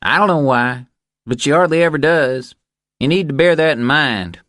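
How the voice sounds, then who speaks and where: A man's voice: a little high, lively intonation, normal speed, fairly bright tone, no room sound, clean studio sound, voice pushed up. A man voicing a cocky, bossy young male rancher who needles and sneers in an audiobook. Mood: sneering.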